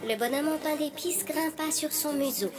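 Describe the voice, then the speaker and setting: High-voiced woman narrating a fairy tale. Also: normal speed.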